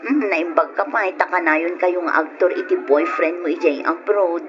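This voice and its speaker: Actress as young Filipino woman, practical and dissatisfied girlfriend, radio drama; dull, clearly noisy recording. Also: lowish voice, normal speed, close to an everyday voice. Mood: cheerful, chatty.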